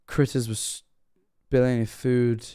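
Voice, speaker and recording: A man's voice: mid-range voice, flat delivery, drawn-out words, mellow, smooth. A British man giving casual, off-the-cuff reaction commentary as a livestream host, joking and swearing in a sarcastic way. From Britain.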